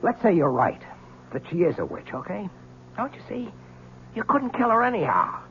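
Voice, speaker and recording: A man's voice: high voice, huge pitch swings, normal speed, fairly bright tone, full character voice. An actor playing a shaken reporter who pleads urgently with an armed man, in a 1970s radio drama. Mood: pleading. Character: desperate male reporter facing a killer. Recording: muffled old radio broadcast with faint noise.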